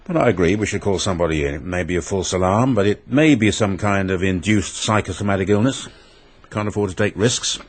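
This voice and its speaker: Deep, husky police detective. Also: male voice, fairly quick. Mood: sceptical. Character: sober, sceptical English police detective. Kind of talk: radio drama acting.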